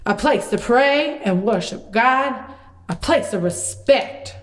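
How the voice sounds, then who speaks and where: A folksy puppet prophet for a children's show, an excitable old-time storyteller man acted out by a woman. The voice sits fairly high and takes its time, drawing words out theatrically. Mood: earnest explaining. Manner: folksy, theatrical, drawn-out delivery.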